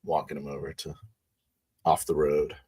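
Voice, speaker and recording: Male, deep voice, casual and halting improvised tabletop roleplay. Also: monotone, vocal fry, mellow, husky. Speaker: player in a tabletop roleplaying game. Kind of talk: improvised in-character roleplay and first-person narration.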